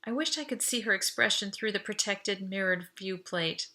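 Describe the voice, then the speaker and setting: A woman reading an audiobook aloud in expressive first-person story narration. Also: medium pitch, moderate pace.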